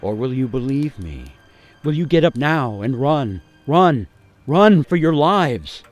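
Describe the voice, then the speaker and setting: An actor playing a haunted gingerbread cookie who tells a grim cautionary tale in a suspenseful, theatrical radio monologue with deliberate pauses. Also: male voice, medium pitch, very animated. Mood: urgent warning. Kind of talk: dramatic monologue for radio storytelling.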